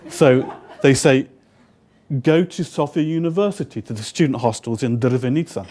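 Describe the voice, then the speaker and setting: A man's voice: mid-range voice, a little mellow. A British man in his fifties, a guest speaker and interpreter, telling a personal story to a live audience with dry, wry humor. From United Kingdom. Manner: conversational, acts out quoted exchanges.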